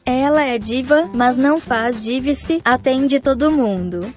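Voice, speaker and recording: A woman's voice: high-pitched, a little slow, soft background music. Woman narrating teasing captions. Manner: teasing, light captions read aloud. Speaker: video narrator. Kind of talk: scripted compilation narration.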